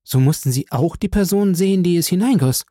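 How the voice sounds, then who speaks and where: Guy doing a scared sick young woman, high and pleading, gravelly, dark tone. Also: big pitch swings, unhurried, no echo, studio quality. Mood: frightened. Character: frightened young woman, feverish and terrified.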